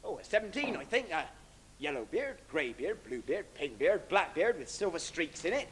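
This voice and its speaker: Pirate villain, pantomime, theatrical, swooping delivery, bright forceful tone, blustering. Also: male voice, a little high, sing-song, moderate pace. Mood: scheming, blustering. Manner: theatrical, bellowing, plays to the audience. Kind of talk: amateur stage pantomime acting. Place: stage of a live student pantomime. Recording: noisy live stage recording.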